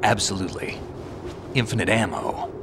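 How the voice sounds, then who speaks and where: A deep, gravelly actor's take on a male veteran soldier from a video game. He is stoic and dry, speaking in short, weighty phrases like a reluctant mentor. A noticeable ambient rumble sits behind the voice. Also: normal speed, natural voice. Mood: confident, dry. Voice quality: gravelly, gruff. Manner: terse, dry, unflappable. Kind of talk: video game cutscene voice acting.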